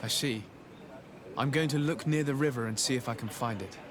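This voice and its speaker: An actor playing a courteous, confident noble envoy in a video game, formal and eager to help. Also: male voice, mid-range voice, a little fast, music in the background, natural voice. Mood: polite. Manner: polite, crisp, formal. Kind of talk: video game cutscene acting.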